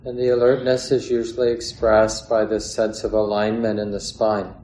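A man guides a meditation in a calm, measured way, leaving long pauses between phrases. His voice is on the low side and stays very level. The room adds a clear echo around his words. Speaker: meditation teacher. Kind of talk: guided meditation, unscripted instruction. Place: meditation hall or large room. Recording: roomy echo, faint background noise.

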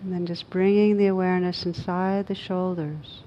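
A woman teaching a guided meditation, speaking gently in short, unhurried phrases with pauses between them. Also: on the low side, flat delivery, muffled recording, slight background noise.

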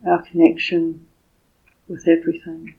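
A woman meditation teacher leading a guided meditation in a soft, slightly husky voice, speaking gently and deliberately with pauses between short phrases. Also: low voice, completely flat delivery, dull audio.

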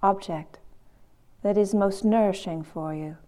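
A woman teaching guided meditation, gently and in measured phrases with long pauses. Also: mid-range voice.

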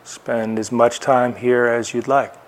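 Guy leading a guided meditation, medium pitch, takes his time, short phrases n long gaps. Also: flat delivery. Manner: unhurried, spare phrases with long pauses. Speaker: meditation teacher.

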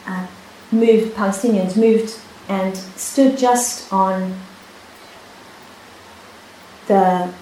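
Brief: Hebrew-speaking woman, meditation teacher, halting, reflective delivery; boomy retreat-hall recording. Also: fairly even intonation. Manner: soft-spoken, unhurried, with many pauses and restarts. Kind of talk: dharma talk with personal story. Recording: echoey hall, faint hiss.

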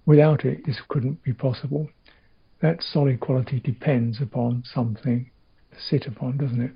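A man with a husky, creaky voice leads a guided meditation. He speaks as a teacher in halting, thoughtful phrases, leaving long gaps between them. The recording is slightly dull. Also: mid-range voice, fairly even intonation. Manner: halting, reflective, short phrases with long pauses.

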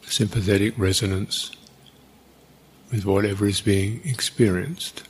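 A man guiding a meditation as a teacher, speaking in an unhurried, halting way with long pauses. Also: fairly low pitch, steady tone, slightly rough. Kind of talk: guided meditation, unscripted.

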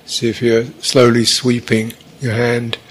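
A man leads a guided meditation at a very slow pace. His medium-pitched voice stays flat and even, moving through short, halting instructions with long gaps between them. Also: slight background noise, rough recording. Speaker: meditation teacher.

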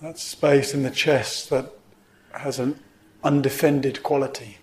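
Man slowly guiding a meditation. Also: mid-range voice, fairly even intonation. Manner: measured phrases with pauses, gentle instructing. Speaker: meditation teacher. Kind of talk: guided meditation instruction.

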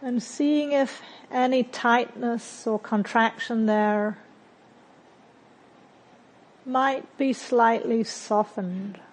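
A woman leads a guided meditation at a very slow pace. Her voice sits a little on the high side. She speaks calmly and gently, in short phrases with long, unhurried pauses. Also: low-quality recording. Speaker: meditation teacher. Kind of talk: guided meditation, body scan.

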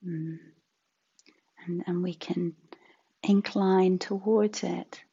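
A woman teaching a guided meditation in a soft, breathy, husky voice, gentle and unhurried with long pauses. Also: lowish voice, steady tone, lo-fi sound.

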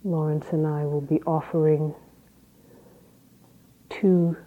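A woman Zen teacher with a low voice, giving an unhurried dharma talk with halting phrases and long pauses. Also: level tone, phone-quality sound.

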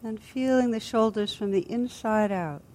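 A woman teacher leading a guided body scan meditation in a gentle, soothing, unhurried manner with long pauses. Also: a little high.

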